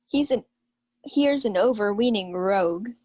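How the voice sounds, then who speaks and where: A woman's voice: mid-range voice, animated, measured pace, a little mellow. A young woman acting a boisterous male reveller who throws scoffing asides in a Shakespeare radio comedy. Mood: indignant. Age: young adult. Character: boisterous male reveller spying on a gullible rival. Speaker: student actor. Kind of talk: Shakespeare comedy as 1940s-style radio play.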